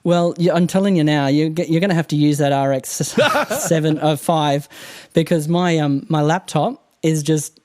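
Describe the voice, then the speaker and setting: A man's voice: on the high side, normal speed, a little mellow, no echo, studio quality. A man with an Australian accent and a nasal voice, chatting casually as a guest about podcast gear. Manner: chatty, rambling, laughs often. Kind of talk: casual technical chat. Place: home room treated with mattresses. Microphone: condenser studio mic.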